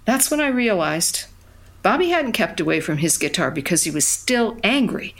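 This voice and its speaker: Reflective woman narrator, animated pitch, conversational, wistful, audio drama storytelling. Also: vocal fry. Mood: wistful wonder. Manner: conversational, reflective, with halting repeats. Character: woman recalling her musician past, wistful and wonderstruck.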